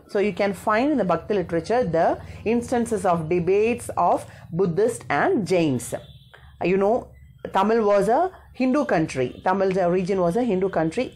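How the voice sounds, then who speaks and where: A woman's voice: medium pitch, a little mellow, slightly rough. A woman with a South Indian accent giving an explanatory school history lesson, partly read out, repeating and rephrasing key points in a didactic way.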